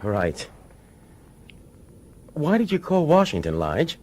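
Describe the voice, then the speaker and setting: Fretful commissioner with wildly swinging intonation. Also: male voice, on the high side, huge pitch swings, slow, darkish tone, heavily acted. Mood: nervous. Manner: hesitant, anxious, probing. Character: nervous, fretful male police commissioner, uneasy authority. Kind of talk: radio drama acting.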